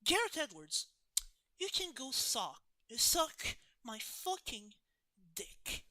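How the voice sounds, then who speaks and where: A woman's voice: high voice, very animated, slow, sharp tone, dry recording. A woman chatting casually with strong opinions, speaking emphatically and swearing freely. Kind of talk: casual ranting chat on a live script read.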